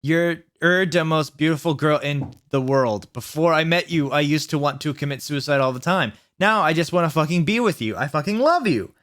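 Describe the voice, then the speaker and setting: Man doing a high, pleading lovesick goth boy. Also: moderate pace, hardly any pauses, fairly bright tone, close to an everyday voice. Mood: lovesick sorrow.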